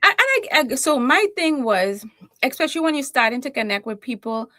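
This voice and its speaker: A woman's voice: high-pitched, sing-song, brisk, bright, forceful tone, no echo, clean studio sound. A woman from Trinidad and Tobago with a Trinidadian accent, talking as a confident, humorous guest in an interview. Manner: playful, self-assured, humorous. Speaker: guest, keynote speaker and confidence coach. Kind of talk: conversational interview.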